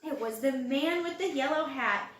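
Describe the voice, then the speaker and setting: A woman reads a children's storybook aloud in an expressive way, drawing out words for young listeners. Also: high-pitched. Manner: expressive, drawn-out words and loud acted exclamations for young listeners. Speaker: storybook reader. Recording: roomy home recording, distant mic.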